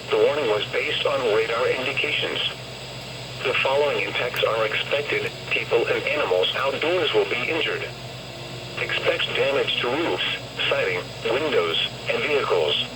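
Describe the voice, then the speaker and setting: A synthetic male voice reading a formal scripted warning bulletin impersonally over a weather radio. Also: medium pitch. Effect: weather radio broadcast. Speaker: weather radio alert announcer. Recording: radio speaker picked up in noisy surroundings.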